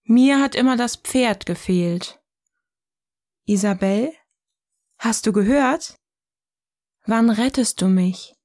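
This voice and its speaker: Eine Frau spielt in einem Hörspiel eine provokante, ironische Gesprächspartnerin, die bedächtig und neckend philosophiert. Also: female voice, fairly high pitch, slow, long pauses, a little mellow. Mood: musing, ironic. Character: provocative, ironic woman sparring in philosophical dialogue. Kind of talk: scripted audio drama dialogue, philosophical banter.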